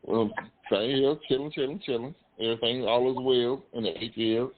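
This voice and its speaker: A man's voice: medium pitch, steady tone, brisk. A man in his fifties with an Atlanta Southern accent, calling in to a talk show with jovial, slangy banter and repeated catchphrases. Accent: Atlanta Southern American. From Atlanta, Georgia, USA. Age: 50s. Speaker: call-in guest. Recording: muffled, band-limited phone call audio.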